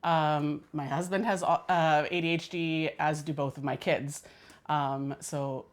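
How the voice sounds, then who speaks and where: Low-voiced woman in her forties. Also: steady tone, slow pace. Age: 40s. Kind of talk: interview self-introduction.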